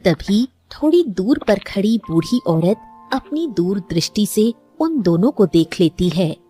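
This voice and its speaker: A woman narrates a scripted cartoon tale as storyteller. Her voice is slightly husky and her delivery unhurried, with faint music underneath. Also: mid-range voice, big pitch swings, mellow.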